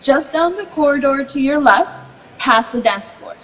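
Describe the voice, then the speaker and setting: A woman's voice: sits high, lively intonation, normal speed, fairly bright tone. A woman playing a gracious but easily flustered society hostess, polite and coaxing, in a radio drama. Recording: long hall reverb, music underneath.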